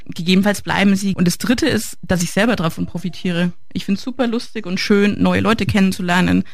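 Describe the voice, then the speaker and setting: A woman's voice: mid-range voice, brisk, no room sound. A woman interviewed as a volunteer mentor, talking enthusiastically and casually.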